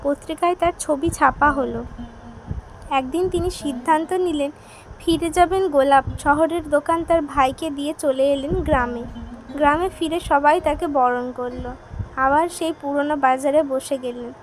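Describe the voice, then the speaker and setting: A woman's voice: high-pitched, normal speed, slight background noise, poor audio. A woman reading scripted narration for a children's moral cartoon story.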